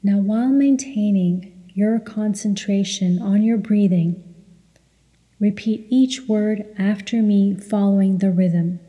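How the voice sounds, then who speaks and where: Very mellow woman guiding meditation, heavy reverb. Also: mid-range voice, steady tone. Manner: measured, soothing, instructional. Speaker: guided meditation leader. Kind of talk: guided meditation narration with recitation. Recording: long hall-like reverb.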